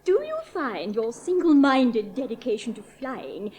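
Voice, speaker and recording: A gushing newspaper reporter in an old radio drama. Her voice is high and lively, rising and falling with condescending enthusiasm. She talks down to everyone while narrating her own headlines. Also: a little slow, a little hiss or hum. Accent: English. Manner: gushing, condescending, dictates copy aloud. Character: gushing, patronising woman society reporter.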